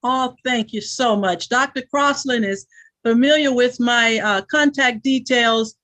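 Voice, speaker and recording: Slow, gracious woman hosting a forum. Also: a little high, a bit bright. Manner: gracious, measured, motivational.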